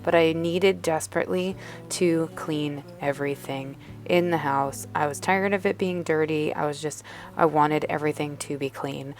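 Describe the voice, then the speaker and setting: A woman's voice: low voice, normal speed. A woman vlogger, a bit sniffly, narrating her day in a chatty, rambling way. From Northeastern United States. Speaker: lifestyle vlogger. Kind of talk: casual voice-over narration. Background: background music.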